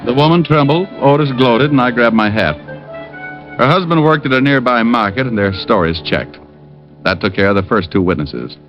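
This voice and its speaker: A man's voice: medium pitch, expressive, normal speed, close to an everyday voice. A man playing a sardonic, dogged private detective who narrates his own case and wisecracks in 1940s radio style. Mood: narrating. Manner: wisecracking, hard-boiled, sardonic. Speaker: lead detective and first-person narrator. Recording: dull old radio recording with music.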